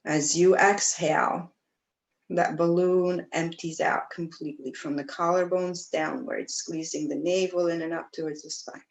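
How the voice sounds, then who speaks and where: A woman teaching yoga, guiding breathing and meditation in a gentle, soothing way, with pauses between phrases. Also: sits low, steady tone, vocal fry, rough recording. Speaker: yoga teacher leading a class. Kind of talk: guided breathwork and meditation instruction.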